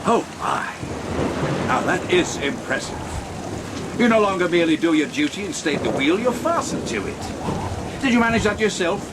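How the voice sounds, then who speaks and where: A man's voice: high-pitched, sing-song, moderate pace. An actor playing a gloating, mocking vampire lord in a gothic horror audio drama, savoring his words with theatrical menace. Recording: rain and thunder noise throughout.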